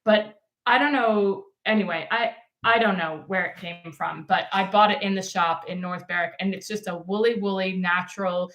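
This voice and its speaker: A woman's voice: mid-range voice, normal speed, few pauses, fairly bright tone. Chatty woman in an echoey room. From United States. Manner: chatty, rambling with many ums and restarts. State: losing her voice. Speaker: podcast co-host. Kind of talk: casual chat.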